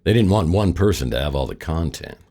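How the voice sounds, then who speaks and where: A man playing a world-weary, wisecracking private detective in a noir radio drama, with a wry deadpan delivery. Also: low-pitched, brisk, in a large hall. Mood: dry, businesslike. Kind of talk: noir radio drama, first-person narration and dialogue.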